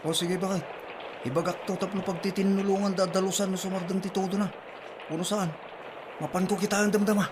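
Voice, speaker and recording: A man's voice: high-pitched, level tone, a little fast, noisy background, natural voice. Man acting, elderly father, grumbling, village elder, Ilocano comedy drama dialogue. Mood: questioning.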